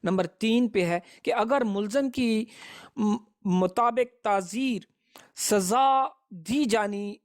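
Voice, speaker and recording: A man's voice: high voice, slow. A man gives a prepared educational law lecture in a formal, methodical way, setting out points one by one. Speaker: advocate presenting law lessons.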